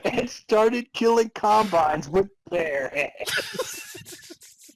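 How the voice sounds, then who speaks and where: A man's voice: high-pitched, huge pitch swings, drawn-out words, slightly rough, poor audio. A man narrating a comic story in a theatrical way, prone to breaking into laughter. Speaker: narrator and podcast host. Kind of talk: dramatic reading of comic fan fiction.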